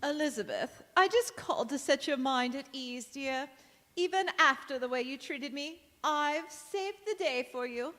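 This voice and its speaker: A woman acts a martyred older mother-in-law in a radio sitcom. She is high-pitched, prim and sugary, heard in a reverberant hall. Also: expressive, unhurried, a bit bright. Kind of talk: old-time radio sitcom acting, live on stage. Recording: echoey hall, live stage performance.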